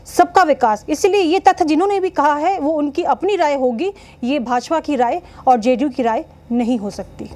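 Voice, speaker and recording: Woman, high pitch, diplomatic, measured, political sound bite for news. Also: darkish tone. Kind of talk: spoken statement to news media.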